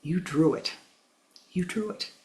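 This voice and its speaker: A spiritual teacher giving a gentle, tender teaching, repeating phrases and calling listeners "my love", at times dropping to a near whisper. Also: mid-range voice, expressive, measured pace, poor audio.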